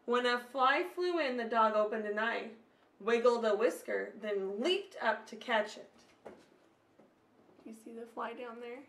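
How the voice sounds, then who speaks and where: A woman's voice: fairly high pitch, a little slow, a bit bright. A woman in her thirties reading a picture book aloud to children, expressively, with little questions and asides to them. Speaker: children's storyteller. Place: small room at home, indoors. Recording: slightly echoey room.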